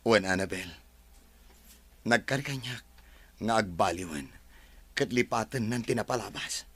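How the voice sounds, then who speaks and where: An actor playing a calm, forgiving man who reassures his brother in a radio drama. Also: lowish voice, unhurried, breathy edge, no echo. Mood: reassuring. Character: forgiving man named Marshal, calm and steady. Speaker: brother character.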